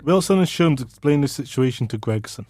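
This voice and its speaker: A man's voice: medium pitch, animated, brisk, a little mellow, dry recording, studio quality. A man narrating a comedic scripted radio drama.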